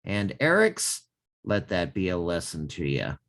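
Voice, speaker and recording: A man's voice: low-pitched, wildly swooping pitch, a little slow, a bit bright. A man co-hosting a casual music podcast, reading a listener's comment with playful comic asides. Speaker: podcast co-host.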